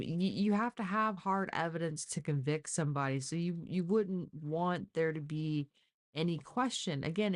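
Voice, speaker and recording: A woman talking casually and informally, as on a live true crime stream, with exasperated asides. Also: sits low. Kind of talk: casual live case discussion.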